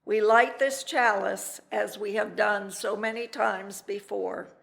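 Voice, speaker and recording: A woman's voice: mid-range voice, fairly even intonation, slow, gravelly, fairly bright tone. A woman lay reader reading a liturgical text aloud at a worship service.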